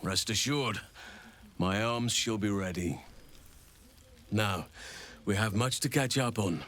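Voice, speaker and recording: An actor playing a gentle, wise old druid man in a fantasy video game, speaking in formal, measured, earnest sentences. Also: fairly low pitch. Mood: affectionate. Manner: formal, measured, eloquent speech.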